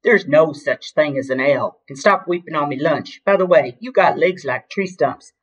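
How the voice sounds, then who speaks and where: A woman's voice: low-pitched, moderate pace, breathy edge. A woman voicing a rude, bullying big male elephant in a storybook, with blunt, bossy, slangy taunts. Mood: taunting.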